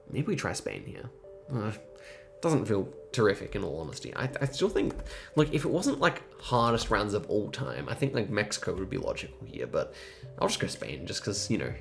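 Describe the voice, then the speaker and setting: A man's voice: fairly low pitch, creaky. A man giving casual, thinking-aloud gameplay commentary, musing and rambling hesitantly as he goes. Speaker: host of geography quiz gaming videos.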